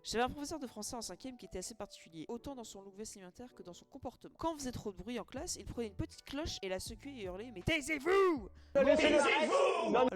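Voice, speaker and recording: A young man talks at a quick clip over background music, switching between reading stories aloud and casual reactions. His delivery is slangy and expressive, full of exclamations. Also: high-pitched. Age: young adult.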